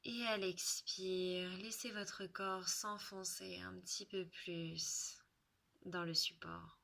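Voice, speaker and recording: A woman leading a guided relaxation in French, soothing and unhurried, with soft, breathy phrase endings and long pauses. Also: lowish voice, steady tone.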